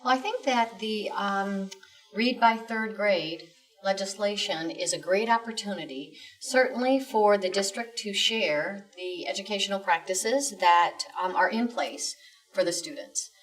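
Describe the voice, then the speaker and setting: Measured woman, slightly off-mic. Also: medium pitch, far from the mic, low-quality recording. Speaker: guest education expert. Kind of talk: explanatory interview answers.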